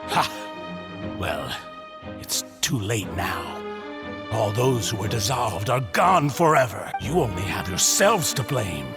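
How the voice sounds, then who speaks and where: An actor playing a bitter, grief-crazed older villain in a video game, theatrical and accusatory. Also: male voice, on the low side, sing-song, slow, vocal fry, over music, heavily acted. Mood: bitter, accusing. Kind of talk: dramatic video game voice acting.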